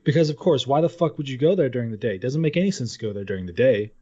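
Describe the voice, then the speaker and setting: A man with a mid-pitched voice chats casually as a podcast co-host. He swears freely and talks informally. The recording is a little dull and lo-fi. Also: animated, rapid-fire, mellow, breathy edge. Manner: casual, profane. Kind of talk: casual chat about games. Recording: dull lo-fi audio.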